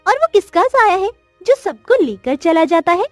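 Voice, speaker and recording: A woman's voice: very high voice, big pitch swings, normal speed, no room sound, soft background music, pitched above the performer's own voice. A woman voicing a curious, anxious but determined young princess in a children's cartoon story, with an earnest, questioning manner.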